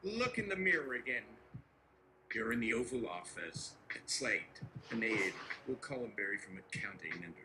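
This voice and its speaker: A man voicing a calm, clinical assessment machine that speaks in measured, scripted phrases. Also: mid-range voice, pitched below the performer's own voice. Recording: noisy ambient background.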